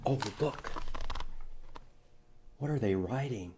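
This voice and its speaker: A man's voice: mid-range voice, expressive, slow pace, background noise. A man hosting a library storytime for young children, reading aloud in an exaggerated, sing-song way and prompting with questions. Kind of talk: children's storytime read-aloud with counting songs.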